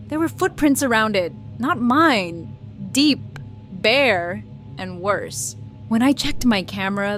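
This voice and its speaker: A woman playing a haunted wildlife photographer, narrating a first-person ghost story with suspenseful, deliberate pauses. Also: medium pitch, natural voice. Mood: dread, suspense. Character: haunted young woman wildlife photographer recounting a terrifying encounter.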